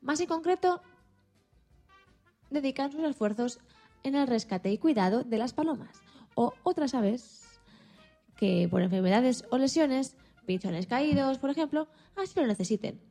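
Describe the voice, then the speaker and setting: A woman co-host with a fairly high voice. She reads scripted radio segments, with light music playing underneath. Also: animated, unhurried, warm tone.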